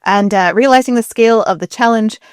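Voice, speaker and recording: A woman's voice: fairly high pitch, brisk, smooth, dry recording, clean studio sound. A woman co-hosting a podcast, explaining things in a casual, chatty, informal way with interest in the details. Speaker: podcast co-host.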